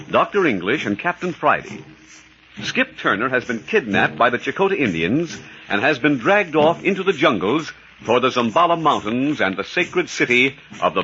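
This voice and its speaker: A man's voice: mid-range voice, bright, forceful tone. A man announcing in dramatic 1940s radio style, recapping a serial as scripted narration. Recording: dull, noisy vintage radio recording.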